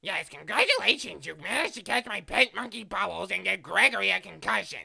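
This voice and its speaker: Brief: quirky alien master for cartoon parody, very gravelly, piercing, exasperated. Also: male voice, sits high, big pitch swings, a little fast, bright, forceful tone, dry recording, clean studio sound, full character voice. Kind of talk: anime parody dub acting.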